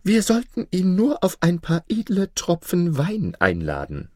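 High, whining, scheming vizier. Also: male voice, huge pitch swings, dry recording, studio quality, full character voice. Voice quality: high-pitched, strained voice. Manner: whining, drawn-out. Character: scheming, jealous, whining vizier, a fat man.

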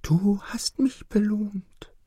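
Male reader voicing a kind little songbird, soft, high and breathy. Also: slow, no echo, studio quality, exaggerated voice. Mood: tender. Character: small gentle female nightingale, kind and soft.

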